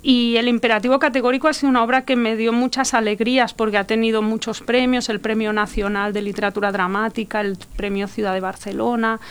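A woman's voice: on the high side, flat delivery, fast talker, few pauses, clear, resonant tone. A middle-aged woman playwright from Argentina, raised abroad, telling her personal story reflectively in a conversational interview. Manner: personal, reflective, laughs often. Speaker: playwright guest.

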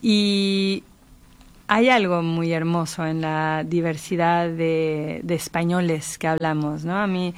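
Casting: middle-aged Mexican woman, slightly low register, articulate writer in a thoughtful interview. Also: steady tone, slow, smooth. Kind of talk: reflective literary conversation.

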